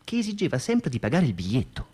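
A man's voice: medium pitch, wildly swooping pitch, fast, darkish tone, slightly rough, heavily acted. An actor playing a wry, nostalgic man narrating theatre memories for radio. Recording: music behind the voice. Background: music.

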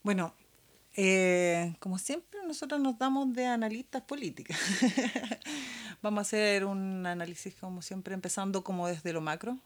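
A woman's voice: mid-range voice, moderate pace. Chilean woman hosting a chatty radio show. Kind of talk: casual chat and show presenting.

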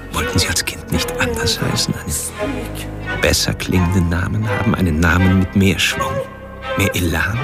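An actor playing a solemn, philosophising killer, speaking an intense, declamatory inner monologue in a radio drama. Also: male voice, deep voice, full character voice. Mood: probing, brooding. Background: music.

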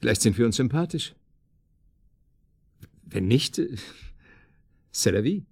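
A man's voice: medium pitch, lively intonation, takes it slowly, a little mellow, husky, no echo, full character voice. An actor playing an eager, flirtatious man courting a blind date in a radio drama, courteous and a little hesitant. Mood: flirtatious, coaxing. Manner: hesitant, chuckling, courteous, coaxing. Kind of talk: naturalistic radio drama acting, phone conversation.

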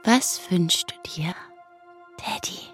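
A woman's voice: lowish voice, lively intonation, slow pace, in a whisper, soft background music. An actress playing a dreamy, earnest eight-year-old girl in a German radio play. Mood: tender, coy. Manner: childlike, earnest.

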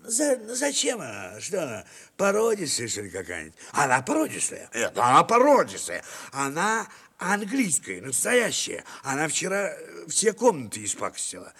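Tipsy gentleman, theatrical audio drama, very animated pitch, stammering, repetitive, coaxing bluster. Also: moderate pace, creaky, hardly any pauses, slightly rough, heavily acted. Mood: grumbling. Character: tipsy, wheedling military gentleman pushing his unwanted dog on a guest. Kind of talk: theatrical audio play of a classic short story.

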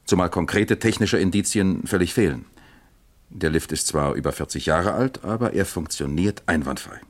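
An actor playing a probing, dry-witted East German police captain in a radio crime drama, musing and questioning deliberately. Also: male voice, sits low.

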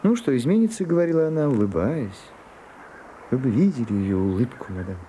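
An actor playing a shy, prim gentleman in a radio play, confiding haltingly and easily flustered. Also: mid-range voice, very animated, close to an everyday voice. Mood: wistful, nostalgic. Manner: hesitant, halting, bashful. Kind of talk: Russian radio play acting. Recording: muffled Soviet-era radio recording, some hiss.

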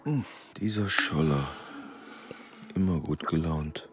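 A man's voice: rumbling low, slow, loud music behind the voice. A man acting a weary, reserved middle-aged engineer and father in a German radio drama, hesitant and brooding. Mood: wry, musing. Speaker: actor in a radio play.

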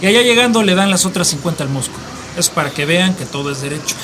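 An actor in a radio drama playing a hard-nosed, menacing Mexican migrant smuggler, talking bossy street slang in a northern Mexican accent. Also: male voice, high-pitched, expressive, quick pace, noisy background. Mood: bossy, stubborn.

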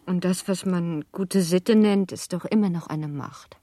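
An actress playing an earnest, anxious, well-bred young wife in a German radio play, polite and restrained in manner. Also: female voice, lowish voice, a little slow, warm tone, dry recording, close to an everyday voice. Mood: polite, doubtful. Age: young adult.

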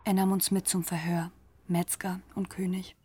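Radio drama casting: actress as haunted female narrator, lowish voice, clipped and restrained, faint music underneath. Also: flat delivery, normal speed, dark tone, natural voice. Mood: tense, confessing. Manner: terse, clipped sentences, restrained. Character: haunted female witness narrating a grim crime story.

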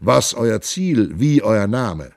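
A man's voice: on the low side, sing-song, slow, creaky, no echo, studio quality, heavily acted. Male reader doing a commanding giant prince, raised and emphatic, stately archaic speech. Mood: hearty, commanding. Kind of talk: audiobook reading.

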